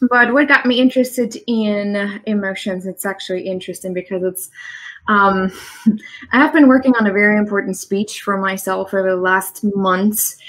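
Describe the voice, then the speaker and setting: Woman, German accent, medium pitch, earnest, reflective, laughs between thoughts, online show guest. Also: fairly bright tone, low-quality recording. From East Germany. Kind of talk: conversational interview. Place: home in the Midwest. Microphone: online live stream.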